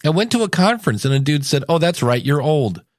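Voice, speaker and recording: A man's voice: fairly high pitch, very animated, fast talker, dry recording, studio quality. A man in his fifties hosting a podcast, talking casually and candidly in an anecdotal monologue. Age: 50s. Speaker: podcast host.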